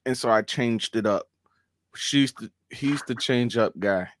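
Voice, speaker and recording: A man's voice: mid-range voice, unhurried. A man hosting a reaction show, chatting casually with a co-host and laughing often. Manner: laughing, joking banter.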